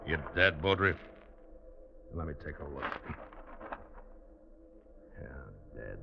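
A man acting an unhurried, folksy, drawling American sheriff in an old-time radio western. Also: rumbling low, lively intonation, vocal fry, muffled recording, background noise, full character voice. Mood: grim, hushed. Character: unhurried Old West sheriff coaxing trapped outlaws.

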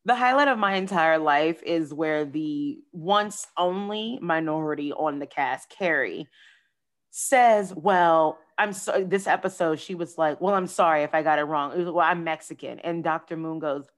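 A woman hosting a podcast in a casual, playful, animated way, calling listeners "y'all" and "honey.". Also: sits low, moderate pace. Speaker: podcast host. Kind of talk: casual chat.

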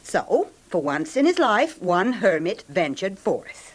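Biting, sardonic wife, wildly expressive. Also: female voice, mid-range voice, takes it slowly. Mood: bitter. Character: bitter, mocking younger wife of a rich recluse, tipsy. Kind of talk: old time radio drama acting.

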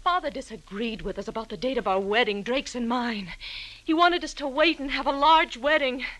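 Actress as young radio-play heiress, defensive, indignant, very high, sharp bright tone. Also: female voice, moderate pace, natural voice, poor audio. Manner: insistent, indignant. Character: defensive, distressed young heiress under suspicion. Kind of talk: 1940s radio acting.

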